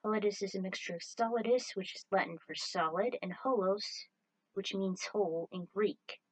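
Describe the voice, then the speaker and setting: A woman's voice: medium pitch, moderate pace, poor audio. A woman reading scripted fiction aloud as its narrator, in the manner of an amateur storyteller presenting their own writing. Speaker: narrator and writer of fan fiction.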